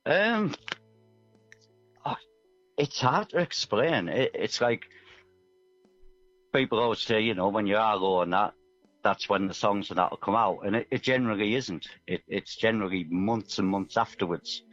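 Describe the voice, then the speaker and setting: A man's voice: fairly low pitch, normal speed, pauses often, a bit bright. A man talking as a musician guest in a casual interview, earnest, reflective and a little hesitant. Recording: dull band-limited line, music underneath.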